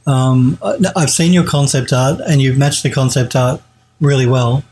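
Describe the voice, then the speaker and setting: A man's voice: medium pitch, fairly even intonation, normal speed, darkish tone, slight background noise. A man in his forties with a head cold that makes him sound a bit nasal, giving an enthusiastic casual critique of 3D art on a live stream at home. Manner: enthusiastic, repeats praise, restarts. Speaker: 3D art streamer reviewing viewer work. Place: home streaming room, indoors.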